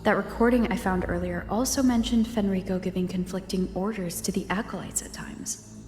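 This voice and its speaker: A woman's voice: medium pitch, fairly even intonation, a little fast, lightly acted. An actress voicing a curious young woman adventurer who reasons aloud through clues in an English game dub. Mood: pondering. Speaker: protagonist investigating ruins. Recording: roomy game audio with long reverb. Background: soft music.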